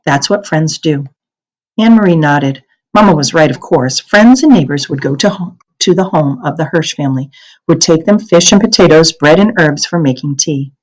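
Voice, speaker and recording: A woman reading a children's novel aloud as an expressive narrator. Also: deep voice, normal speed, rough recording.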